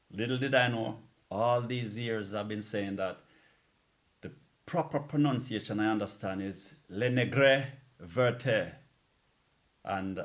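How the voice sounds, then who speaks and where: A man hosting a music show, chatting casually and informally as a DJ between tracks, with bits of Caribbean-style slang. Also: fairly low pitch.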